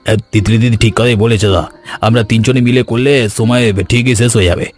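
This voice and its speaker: A man playing a loyal, eager sweet-shop assistant in an animated story, willing and agreeable. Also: mid-range voice, quick pace, lightly acted. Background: background music.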